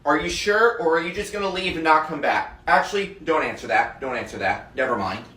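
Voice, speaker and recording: Male actor as a no-nonsense teacher with a soft side, slightly high voice, roomy sound. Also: animated, a little fast. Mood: stern, scolding. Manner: stern and scolding, then soft and consoling. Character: strict but caring male schoolteacher. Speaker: teacher in a classroom skit. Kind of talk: comedic classroom sketch. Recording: roomy echo, distant mic.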